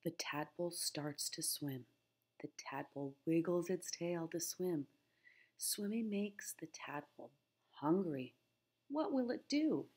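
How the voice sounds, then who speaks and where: Slow-reading, slightly husky woman teacher. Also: on the low side, lively intonation, darkish tone. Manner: simple, deliberate phrasing, addressing young listeners with questions. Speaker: teacher reading aloud to children. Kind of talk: read-aloud of a children's nature book with questions to listeners.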